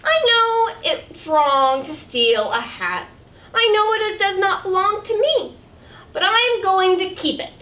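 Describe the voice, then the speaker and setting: A young woman reading a picture book aloud as a children's storyteller, with animated, exaggerated delivery, in a small room at a distance from the mic. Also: extremely high pitch, slow. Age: young adult. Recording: roomy, distant camera mic, faint noise.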